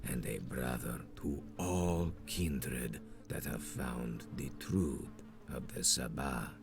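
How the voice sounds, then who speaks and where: A man's voice: a bass voice, takes it slowly, creaky, noisy background, exaggerated voice. An actor playing a menacing, ancient male vampire sect leader in a video game, speaking in grandiose, solemn, archaic oratory. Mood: grave, tempting. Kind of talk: dramatic video game villain acting.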